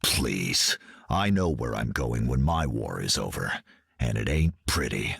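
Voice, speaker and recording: An actor playing a grim, bitter vigilante soldier with a gravelly, gruff voice in a game. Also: male voice, rumbling low, sing-song, a little slow, a bit bright, in a large hall, full character voice. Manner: gruff, contemptuous.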